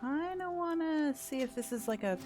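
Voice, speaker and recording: A woman's voice: high-pitched, expressive, a little fast, over music. A woman doing casual playthrough commentary, thinking aloud and asking herself questions as she goes. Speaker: gameplay host.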